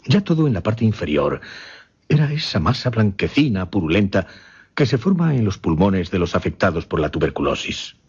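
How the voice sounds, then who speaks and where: Un actor de radioteatro que interpreta a un solemne caballero hipnotizador que relata su experimento macabro, formal y con pausas dramáticas. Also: male voice, deep voice, very animated, a little mellow, husky, full character voice. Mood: grave, formal. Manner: deliberate, formal, dramatic pauses. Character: grave gentleman hypnotist recounting his macabre experiment. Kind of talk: radio drama acting, dialogue narration. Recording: slightly muffled, lo-fi audio.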